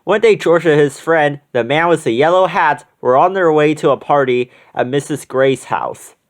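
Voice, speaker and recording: A storyteller reading a children's picture book aloud in an expressive way. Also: medium pitch, normal speed. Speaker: storybook reader.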